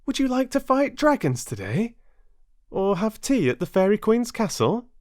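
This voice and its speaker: A man's voice: sits high, sing-song, moderate pace, no echo, clean studio sound, pitched above the performer's own voice. Man playing a kindly, lilting female babysitter. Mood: playful, inviting. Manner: gentle, encouraging.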